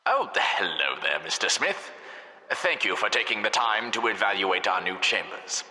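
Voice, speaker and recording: An actor playing a gleefully sadistic, petty scientist narrating a video game test, theatrical and sarcastic, over a reverberant announcer microphone. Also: male voice, mid-range voice, exaggerated voice. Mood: smug, officious. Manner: condescending, deadpan sarcasm breaking into indignant outbursts. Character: gleefully sadistic, petty mad male scientist supervising tests. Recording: echoey hall with long reverb.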